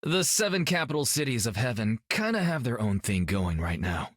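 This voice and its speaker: A man's voice: mid-range voice, very animated, moderate pace, a bit bright, no room sound, clean studio sound. A young actor playing a brash, cocky male martial artist in a video game, joking and upbeat. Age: young adult.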